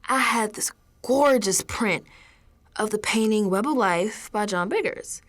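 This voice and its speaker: An actress playing a frightened, defiant young woman who is unraveling, in a colloquial, halting radio drama monologue. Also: mid-range voice, close to an everyday voice. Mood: uneasy, hushed. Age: young adult. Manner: colloquial, halting, slow, drifting into hushed tones.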